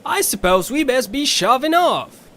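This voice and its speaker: A man's voice: very high voice, sing-song, a little slow, fairly bright tone, full character voice. A man voicing a folksy, bossy ferry captain with a Southern US accent in a cartoonish audio drama. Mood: folksy, cheerful. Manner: folksy, drawling turns of phrase.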